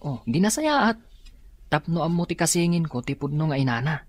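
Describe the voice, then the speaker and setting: A man's voice: fairly high pitch, very animated, moderate pace, no room sound. A man with an Ilocano accent acting an earnest son seeking a lost twin in a radio drama. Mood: insistent, agitated.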